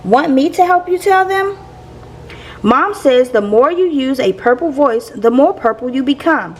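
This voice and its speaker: A woman reading a picture book aloud to young listeners in a playful, expressive teacher's manner. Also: sits high, measured pace, a little hiss or hum. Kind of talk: expressive children's read-aloud.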